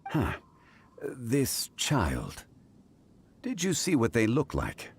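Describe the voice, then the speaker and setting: An actor playing a composed, dignified man in a game, speaking in a formal, deliberate manner. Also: mid-range voice, huge pitch swings, gravelly, husky, heavily acted. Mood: calm. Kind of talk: game voice acting.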